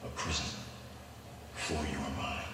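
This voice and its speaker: An actor playing a calm, grave mentor who speaks in slow, measured, solemn tones, heard as a film clip over hall speakers. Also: male voice, far from the mic, slight background noise. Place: large conference hall.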